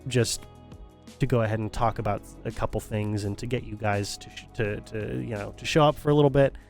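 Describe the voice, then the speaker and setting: A man's voice: lowish voice, steady tone, fairly quick, vocal fry, faint music behind. A male streamer talking casually to his viewers, rambling with many restarts and fillers, his voice strained and still recovering. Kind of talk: casual stream sign-off talk.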